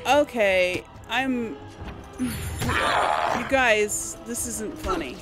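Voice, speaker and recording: A woman's voice: fairly high pitch, extremely slow, over loud music. A woman giving casual let's play commentary, chatting and thinking aloud to her viewers. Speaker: gaming video host.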